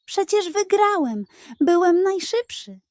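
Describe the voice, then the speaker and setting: A woman's voice: extremely high pitch, sing-song, slow, a little mellow, no room sound, studio quality, voice pushed up, heavily acted. A woman voicing a sly male cheat in a children's story, defensive and flustered as he protests. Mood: protesting.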